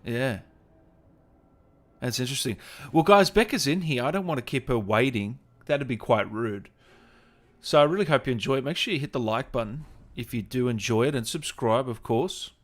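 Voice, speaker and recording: A man's voice: mid-range voice, moderate pace, fairly bright tone. A man hosting a gaming livestream, chatting casually and appreciatively with his guest and viewers. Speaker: host of a gaming interview livestream.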